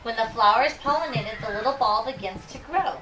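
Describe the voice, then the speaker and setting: Bright-voiced woman teacher, recorded from afar. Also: a little high. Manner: expressive, deliberate reading, checking understanding with questions. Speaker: elementary school teacher. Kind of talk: read-aloud lesson with questions to children. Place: school classroom. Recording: noisy classroom, distant microphone.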